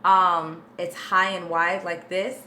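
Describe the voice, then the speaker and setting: A woman vocal coach with a notably low speaking voice, chatting through a live Q&A and answering viewers' questions informally. She breaks her explanations down patiently, step by step, with plenty of fillers and asides, and a faint hiss sits under her voice. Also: flat delivery, slow, sharp tone. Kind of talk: casual livestream Q&A, reading and answering viewer questions.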